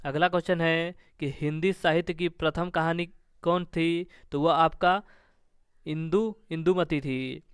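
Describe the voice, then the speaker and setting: A man with a smooth, clear and fairly high voice works through exam questions as a teacher. He reads each question and gives the answer in a formulaic way, returning to the same stock phrases. The sound is dry and close, with no room echo. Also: steady tone, measured pace. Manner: formulaic, repeats stock phrases between items. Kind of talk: quiz-style lesson, reading questions and answers.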